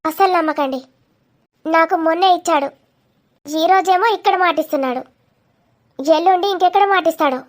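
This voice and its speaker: A woman's voice: extremely high pitch, moderate pace, pauses often. A woman voicing a feisty, sarcastic young woman in a confrontational cartoon comedy. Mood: scornful. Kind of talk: dramatic cartoon comedy dialogue.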